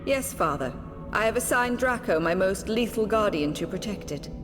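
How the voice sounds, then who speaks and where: A woman acts out an assured game villainess with a mid-pitched voice. She speaks formally and haughtily in a long hall reverb, over music. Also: animated, normal speed, lightly acted. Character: tyrant's haughty, dutiful villainess daughter. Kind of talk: video game villain acting.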